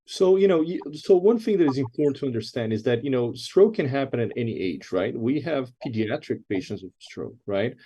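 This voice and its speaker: Dark, mellow-voiced man explaining. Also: mid-range voice, animated, a little fast, few pauses, slightly rough. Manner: explanatory, frequent check-in tags. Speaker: doctor, expert guest. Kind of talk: conversational medical explanation.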